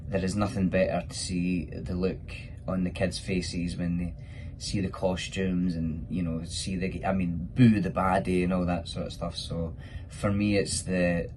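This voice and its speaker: Casting: Scottish male actor, deep voice, casual and enthusiastic Q&A chat, noticeable background noise. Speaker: pantomime actor. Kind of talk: casual spoken question-and-answer for children.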